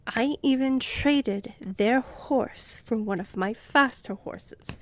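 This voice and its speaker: A woman playing a haughty female vampire noble in an audio roleplay, menacing and seductive, imperious and teasing, with breathy whispered drops. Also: fairly high pitch, slow, slight background noise. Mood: teasing, composed. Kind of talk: dramatic audio roleplay acting. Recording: echoey hall-like reverb.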